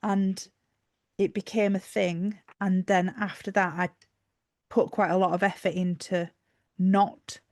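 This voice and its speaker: A woman's voice: medium pitch, level tone, unhurried, no echo, studio quality. A woman with a Yorkshire accent, co-hosting a casual podcast chat and explaining her thoughts with fillers and restarts. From Yorkshire, England. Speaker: podcast co-host.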